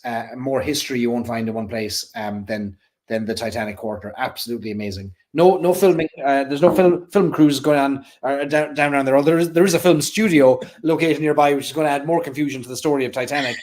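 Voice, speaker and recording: A man's voice: medium pitch, brisk, darkish tone. A man co-hosting a casual whiskey talk show, chatty and teasing. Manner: chatty and jokey, teasing guests. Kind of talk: casual chat.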